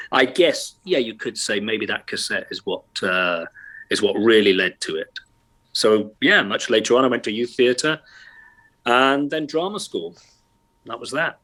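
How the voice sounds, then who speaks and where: A man's voice: on the low side, unhurried, long pauses, bright, forceful tone. A middle-aged man from Wales with a light Welsh accent, a chatty, self-deprecating actor who laughs often, talking remotely from his bedroom at home. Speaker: actor, guest. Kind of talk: casual interview chat.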